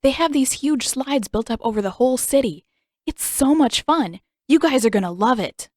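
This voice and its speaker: A woman voicing an excitable, playful young boy in a read-aloud fan story. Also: high voice, normal speed, no room sound, clean studio sound, voice pushed up. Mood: eager. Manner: bright, excited, enthusiastic.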